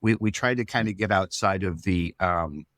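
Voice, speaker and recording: A man's voice: low-pitched, moderate pace, fairly bright tone, no room sound. A man, the writer of a fiction show, explaining casually with some hesitation in an interview.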